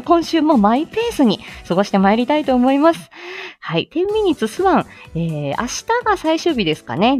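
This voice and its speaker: A woman's voice: mid-range voice, darkish tone. A woman hosting a live audio show in a casual, chatty way, candid and self-deprecating, often breaking into laughter as she talks. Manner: laughs often while talking. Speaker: host of a live audio show, speech therapist. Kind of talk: casual chatty solo livestream talk.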